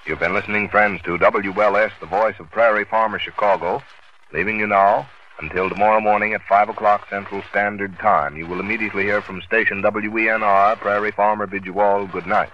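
A man's voice: fairly low pitch, fairly even intonation, fairly quick. An American man making a formal 1930s station sign-off on a muffled, noisy old radio recording. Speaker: station announcer.

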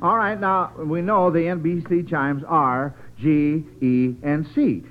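A narrow, tinny vintage radio recording carries an American man with a high-pitched voice. He hosts a children's quiz in an avuncular, chatty style, addressing the youngsters like a friendly uncle. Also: fairly even intonation, slow. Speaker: quiz show host.

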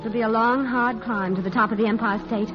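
Female lead for old radio love story, played by a woman: wry, tender, resolute singer, fairly high voice, dull recording with music behind. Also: steady tone, a little fast, lightly acted. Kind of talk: vintage radio romance acting.

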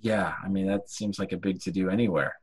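A man's voice: low voice, steady tone, brisk, darkish tone, slightly rough. A man hosting a casual interview, dropping dry teasing jokes and short agreeing replies. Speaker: podcast host and stand-up comedian.

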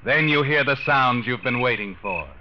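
An American man acting as the ominous, taunting narrator of a 1940s radio crime thriller, telling the story deliberately to the culprit. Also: medium pitch, expressive, noisy background, close to an everyday voice. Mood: tense, ominous. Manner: taunting, deliberate, suspenseful second-person storytelling. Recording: dull old radio recording, loud music behind. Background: dramatic music.

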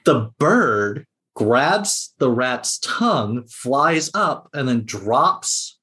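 A man's voice: medium pitch, big pitch swings, very slow, bright, forceful tone. A man talking casually as a panelist on a podcast about a TV series.

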